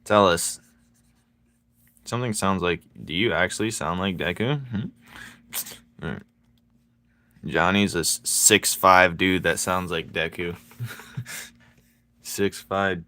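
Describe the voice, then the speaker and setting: A man chatting casually with his viewers while he draws, playful and quick to laugh as he banters with them. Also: sits low, pushed and bright. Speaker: streaming artist. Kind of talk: casual chat while drawing.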